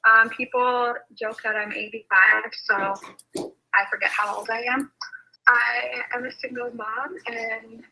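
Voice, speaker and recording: A woman's voice: on the high side, steady tone, slow, vocal fry, pushed and bright, slight background noise, poor audio. A woman in her late twenties introducing herself as a contestant in a bubbly, joking way. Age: 20s.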